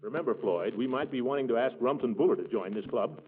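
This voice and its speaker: A man playing a pompous, indignant middle-aged small-town commissioner with an American accent and a husky voice in 1940s radio comedy. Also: mid-range voice, animated, quick pace. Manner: huffy, pompous. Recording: tinny 1940s radio recording.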